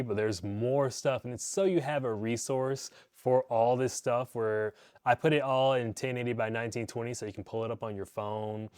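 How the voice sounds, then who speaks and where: A man's voice: medium pitch, darkish tone. A young man giving a casual, rambling rundown of his music gear at home, speaking into a wireless clip-on mic. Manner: laid-back, rambling, slangy. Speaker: guitar teacher and channel host. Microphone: wireless clip-on mic into phone.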